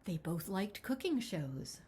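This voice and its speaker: A woman reading a picture book aloud as its author, with expressive, dramatic storytelling. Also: mid-range voice, takes it slowly. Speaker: children's author reading their own book.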